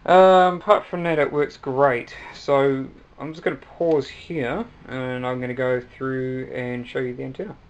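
A young man with a New Zealand accent, giving a casual, hesitant hobbyist demonstration with frequent pauses. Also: medium pitch, fairly even intonation, lo-fi sound. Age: young adult. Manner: hesitant, halting delivery with pauses. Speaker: hobbyist video maker. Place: small cluttered room at home, indoors. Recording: noisy amateur home recording.

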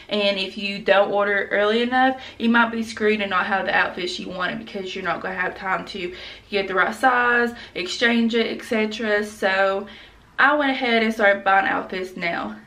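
A woman's voice: fairly high pitch, bright, forceful tone, distant mic. A young woman chatting frankly in a casual fashion haul vlog, full of "like" and slang, talking to a camera a few feet away in a small room at home. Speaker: fashion vlogger. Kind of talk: casual try-on haul chat.

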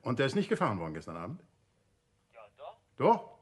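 An actor playing a composed, courteous and urbane detective in a vintage radio play, speaking conversationally with a slightly husky voice. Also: male voice, mid-range voice, huge pitch swings, brisk, a little mellow. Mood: surprised, polite.

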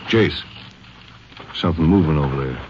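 A man's voice: rumbling low, normal speed. An actor playing a folksy, laconic lawman sidekick in a 1950s radio western, talking in a colloquial, easygoing way. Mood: wary. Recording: muffled, noisy old 1950s radio broadcast.

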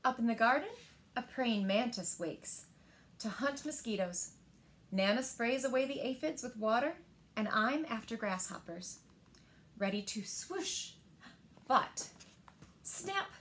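Higher-voiced woman reading picture books aloud, noisy recording. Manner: expressive, deliberate phrasing with asides to listeners. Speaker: storyteller at a library story time. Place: small living room by windows, indoors.

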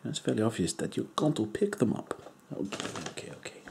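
A man voicing an eccentric, crude foreign male doctor in a deadpan whisper. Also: medium pitch, creaky, noisy background. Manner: whispery, slow, deadpan joking. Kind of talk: comedic ASMR roleplay.

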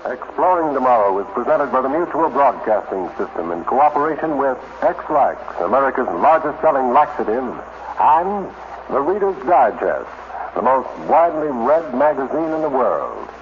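A man announcing a 1950s radio show in a grand, dramatic style with drawn-out emphasis. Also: medium pitch, very animated. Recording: dull, noisy 1950s radio recording.

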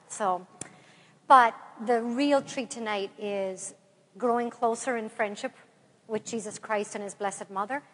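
A woman in her forties with an Irish accent, giving a parish talk to a congregation and leading them in prayer. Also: a little high. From Ireland. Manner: lively, warm address to a mixed-age congregation, then slow measured prayer reading. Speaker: retreat speaker. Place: large church sanctuary. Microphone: far camera in the pews. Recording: long church reverb from far off.

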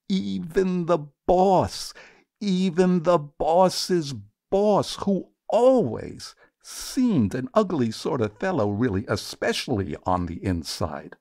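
A man performing poetry in an expressive, theatrical, deliberate reading style. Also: mid-range voice, creaky, no echo, clean studio sound.

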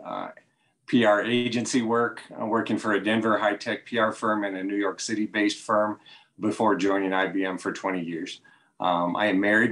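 Relaxed lower-voiced man in his fifties. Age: 50s. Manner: relaxed, filler-heavy listing of career. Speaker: panelist, retiree. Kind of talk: casual self-introduction. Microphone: video call.

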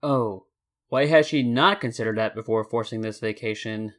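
A man's voice: medium pitch, normal speed, a bit bright. A man narrating fan fiction as a scripted story.

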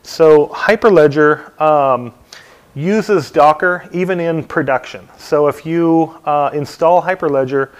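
A middle-aged man teaching a hands-on software install at a lectern in a dim meeting room, talking casually with many ums and uhs. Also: fairly high pitch, slow pace. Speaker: technical trainer. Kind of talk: hands-on tech tutorial talk.

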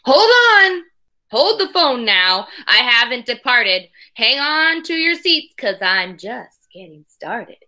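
An excitable little schoolboy out of a picture book, acted out by a woman. The voice is high and bright, swinging widely in pitch. He boasts of grand dreams with eager, emphatic delivery. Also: slow, full character voice. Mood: insistent. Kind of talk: picture-book read-aloud.

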